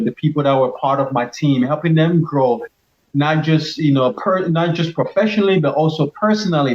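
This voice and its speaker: A man's voice: mid-range voice, dull audio. A middle-aged pharmacist from Cameroon, a guest in an interview, talks earnestly about his path with many restarts. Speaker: pharmacist and leadership coach, guest. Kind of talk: casual interview answers.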